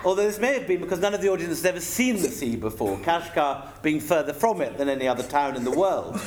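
A man's voice: on the high side, expressive, fast talker. A British man reading a humorous travel account aloud to an audience in a wry, amused, theatrical manner. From United Kingdom. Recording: echoey room with noticeable background noise.

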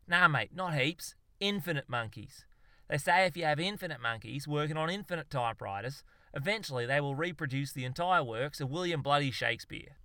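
A man's voice: on the high side, expressive, a little fast, sharp tone, dry recording, clean studio sound. A man voicing a fervent, exasperated Australian zoologist in a comic story, ranting emphatically and swearing. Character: exasperated, blustering Aussie bloke zoologist, manically fervent.

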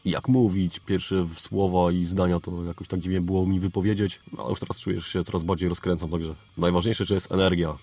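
A man speaks in a low-pitched, mellow voice. He hosts a solo podcast, talking casually and loosely, wandering informally from one phrase to the next. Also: level tone, a little fast, few pauses. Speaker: podcast host.

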